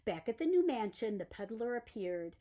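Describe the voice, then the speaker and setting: A woman reading a bedtime story aloud in an expressive storytelling style. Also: a little high, moderate pace. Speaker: storyteller reading a picture book.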